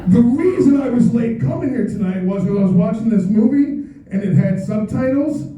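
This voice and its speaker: An American man with a very high, mellow voice performing stand-up in a club. He is heard from a distance in an echoey room, and his delivery is playful and mock-exasperated. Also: animated, moderate pace. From United States. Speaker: stand-up comedian. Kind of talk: stand-up comedy set. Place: comedy club stage with live audience. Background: audience laughter.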